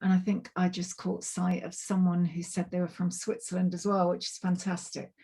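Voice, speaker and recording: A woman with a fairly low voice presents over a video call, speaking through her computer mic. Her voice is a little croaky and worn from travel, and she talks in a chatty way, filling pauses with ums and restarting her sentences. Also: completely flat delivery, warm tone. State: slightly croaky and tired. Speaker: climate project manager giving a webinar talk. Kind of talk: online presentation, loosely scripted.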